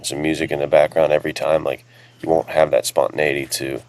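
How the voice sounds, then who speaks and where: Extremely deep-voiced, gravelly man. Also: flat delivery, fast, a little mellow. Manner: informal, practical advice-giving. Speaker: podcast co-host and writer. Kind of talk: casual podcast chat.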